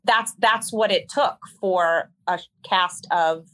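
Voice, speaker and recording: Bright-voiced woman telling candid stories. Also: lowish voice, slow pace. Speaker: interview guest, musical theater performer. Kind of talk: candid conversational storytelling.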